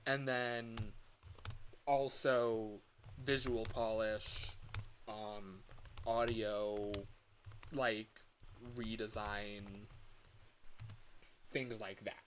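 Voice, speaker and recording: A man talking casually on a livestream as a game developer, thinking aloud in a hesitant, halting way. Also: medium pitch, slight background noise.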